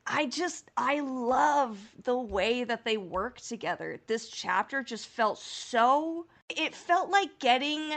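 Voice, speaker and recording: A woman's voice: sits high, lively intonation, slow pace, hardly any pauses, a bit bright. A woman co-hosting a podcast in casual fan discussion, speaking in an earnest, enthusiastic way. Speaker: podcast host.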